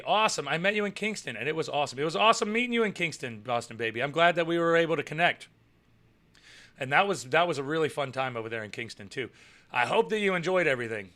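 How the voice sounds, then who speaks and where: Bright-voiced man chatting on a live stream. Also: on the high side. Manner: rambling, repeats himself, chuckles often. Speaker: live show host and pro wrestler. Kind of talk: casual live-stream chat reading viewer comments.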